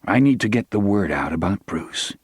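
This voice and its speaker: A man's voice: on the low side, animated, moderate pace, slightly rough, no room sound, clean studio sound. A man voicing a grim, tense pilot and father with terse delivery in an audiobook. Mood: strained. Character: grim, terse airline captain father under strain.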